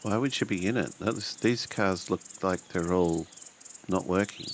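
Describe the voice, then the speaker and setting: A man playing a tabletop roleplaying game, casually improvising his character's actions and halting as he searches for words. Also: sits low, slight background noise.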